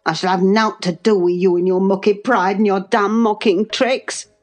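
A woman voicing a surly rustic young man from a novel, growling and gruff. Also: medium pitch, fairly even intonation, normal speed, faint music behind, close to an everyday voice. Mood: angry, scornful. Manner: growling, uncompromisingly gruff, cursing.

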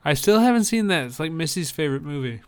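A man's voice: fairly high pitch, expressive, fast talker, smooth. A man co-hosting a podcast, talking in an easygoing, conversational way as he chats about films. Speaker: podcast co-host. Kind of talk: casual chat about films.

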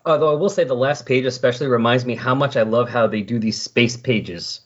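A man with a slightly husky voice in casual, rambling, enthusiastic conversation as a podcast host. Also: mid-range voice, fairly quick. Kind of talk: casual chat about comic books. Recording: dull, lo-fi recording.

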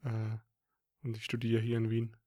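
A young man rambles casually on a podcast in a flat, even voice. He leans on fillers as he talks. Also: on the low side, moderate pace. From Upper Austria. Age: 20s. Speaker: student co-host.

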